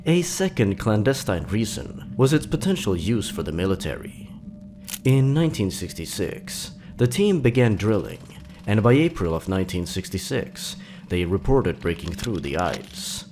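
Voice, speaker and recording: A man hosting and narrating horror stories in a scripted, deliberate and dramatic storytelling delivery. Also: on the low side, sing-song, over music.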